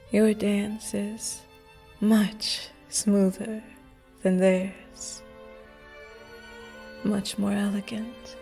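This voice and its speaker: A woman voicing a charming, flirtatious female vampire at a masked ball in an audio roleplay, speaking in soft, seductive whispers with a breathy voice. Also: medium pitch, level tone, very slow, pauses often, faint music behind.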